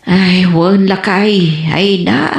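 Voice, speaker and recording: A woman's voice: mid-range voice, fairly even intonation, drawn-out words, creaky. A woman playing a scolding, easily embarrassed village wife in an Ilocano comedy radio drama, with an Ilocano accent. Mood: exasperated. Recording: roomy echoey sound.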